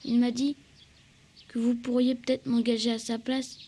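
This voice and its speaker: A woman's voice: on the high side, level tone, moderate pace, mellow, a little hiss or hum, natural voice. A woman voicing an earnest, humble working-class young boy in a French cartoon dub, hesitant and plain-spoken. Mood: apologetic, earnest.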